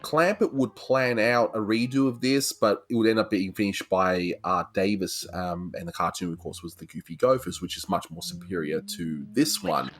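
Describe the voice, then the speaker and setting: A man's voice: sits low, normal speed, hardly any pauses, a bit bright, dry recording. A man hosting a casual animation review, chatty and analytical, with frequent restarts. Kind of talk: casual cartoon review commentary.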